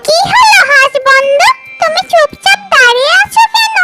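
Clever cartoon hen: extremely high childlike squeak, cheeky and confident, music clearly behind. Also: female voice, lively intonation, normal speed. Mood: friendly.

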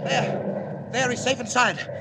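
A man's voice: very high-pitched, steady tone, measured pace. An actor playing a grave, urgent alien scientist father in a declamatory 1940s radio drama style. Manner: intense, declamatory, melodramatic. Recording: very noisy old radio drama recording.

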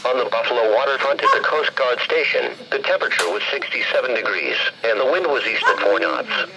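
A man's voice: mid-range voice, sing-song, brisk, gravelly. A synthesized male announcer reading a scripted weather report impersonally over weather radio. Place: played through a handheld radio indoors. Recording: muffled, noisy weather radio broadcast.

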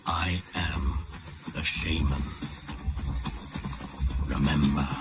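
Deep-voiced ancient male shaman, slow, solemn, radio play, music behind. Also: fairly even intonation, heavily acted. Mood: commanding. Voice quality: deep, slow, grave and intoning. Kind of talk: 1980s radio drama acting.

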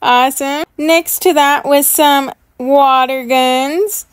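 A woman's voice: high-pitched, steady tone, takes it slowly, no echo. A woman giving chatty, gushing commentary as a gaming YouTuber unboxing items in a game. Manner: enthusiastic, gushing.

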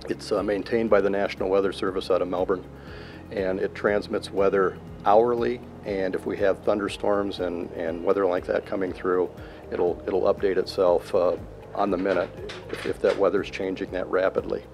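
A man in his fifties, an air traffic control manager, answering interview questions in a control tower in a plain, measured way with many uh's. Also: low-pitched, flat delivery, darkish tone, faint music behind. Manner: plain, measured, fills pauses with uh and um. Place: control tower cab, indoors, large windows. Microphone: handheld mic held by interviewer.

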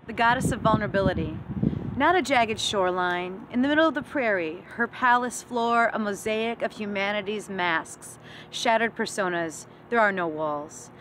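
A middle-aged woman poet reading her own verse aloud with deliberate, dramatic phrasing, recorded on a phone held at arm's length. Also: medium pitch, noisy background.

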